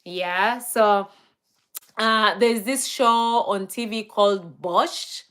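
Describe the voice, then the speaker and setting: Chatty Kenyan woman on a slow live stream. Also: medium pitch, clean tone. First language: Swahili and Luo. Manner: relaxed, chatty, many fillers and laughs. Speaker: livestreamer. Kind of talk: live stream chat reading comments.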